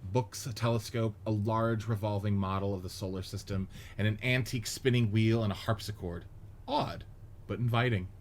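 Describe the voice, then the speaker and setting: A man reads scene and action directions aloud in a steady, matter-of-fact manner, his voice sitting fairly low. Faint background noise sits under him. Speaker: narrator reading stage directions. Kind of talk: reading a script aloud.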